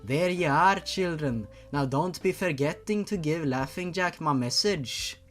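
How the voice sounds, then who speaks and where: A man's voice: a little high, expressive, a little slow, soft background music. A man voicing an ageless witchy shopkeeper woman with folksy, archaic phrasing and a mock Scottish or Irish accent, in a comic story reading. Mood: folksy, kindly.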